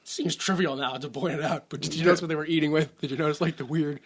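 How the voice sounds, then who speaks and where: A man's voice: a little high, fairly even intonation, quick pace. A man co-hosting a podcast, chatting casually about a film, rambling, swearing freely and acting out lines he quotes. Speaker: podcast co-host. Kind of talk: casual movie review chat.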